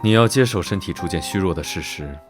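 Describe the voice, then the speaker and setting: A man playing an aloof, commanding nobleman in an animated drama, measured and detached with a dry, teasing edge. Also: deep voice, music in the background. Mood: cool, grave. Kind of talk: Chinese animated drama voice acting.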